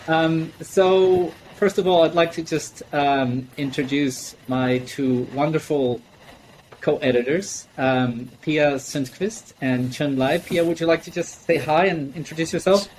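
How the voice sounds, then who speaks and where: A man's voice: fairly high pitch, unhurried, darkish tone, poor audio. Man, online host, casual, fillers, restarts, faint hiss behind. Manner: chatty, many fillers and restarts. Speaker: host and book editor. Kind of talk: casual online book launch hosting. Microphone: video call.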